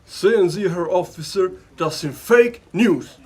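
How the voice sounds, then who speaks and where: A man's voice: high voice, measured pace, darkish tone, breathy edge. An actor playing a slick, deceitful businessman in an audio drama, speaking with an English accent and dropping English words in. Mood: smoothly evasive. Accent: English-accented German. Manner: mixes English words into his speech. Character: slick, deceitful businessman, smugly denying wrongdoing.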